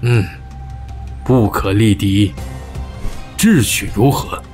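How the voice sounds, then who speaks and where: Scheming court advisor for period audio drama, played by a man: lowish voice, unhurried, deferential, deliberate. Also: a little mellow, faint music behind. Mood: cautious counsel. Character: shrewd, deferential male royal advisor, smoothly scheming. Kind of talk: Chinese costume radio drama acting.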